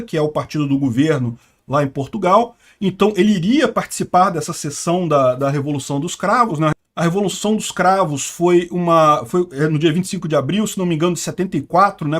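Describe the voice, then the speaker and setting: A Brazilian man giving sarcastic, indignant political commentary. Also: high-pitched. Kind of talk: opinionated news commentary.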